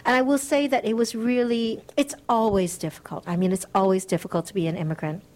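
A woman's voice: mid-range voice, fairly even intonation, fairly quick, darkish tone. A middle-aged woman from the United States, a guest author, answering interview questions in an earnest, reflective way with light laughs.

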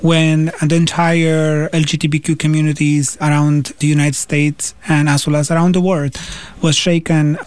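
A man's voice: on the high side, level tone, normal speed, darkish tone, no room sound. A man from Afghanistan, a non-native English speaker, talking thoughtfully as an interview guest. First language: not English. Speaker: interview guest, PhD student and activist.

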